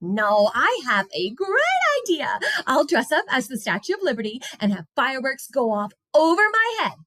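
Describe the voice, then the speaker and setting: Little schoolgirl, voiced by a woman, high-pitched, dramatic, emphatic, stubborn, storybook character. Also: huge pitch swings, moderate pace, a bit bright, no room sound, heavily acted. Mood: excited.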